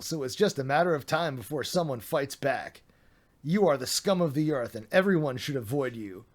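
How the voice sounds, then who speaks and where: A man's voice: a little high, darkish tone. A man streaming, reading a document aloud with sarcastic, mocking asides, his voice getting a little worn.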